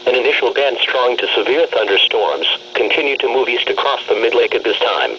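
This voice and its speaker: A man's voice: mid-range voice, animated, fairly quick, bright, forceful tone. A man's synthetic, formal and impersonal voice reading a scripted weather warning bulletin on the radio. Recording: noisy, muffled weather radio broadcast.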